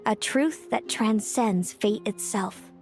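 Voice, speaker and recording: A woman playing a solemn, fatalistic young seeress in a video game, speaking in a measured, brooding, formal way. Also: medium pitch, a little mellow, faint music behind. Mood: softly glad. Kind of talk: dramatic video game voice acting.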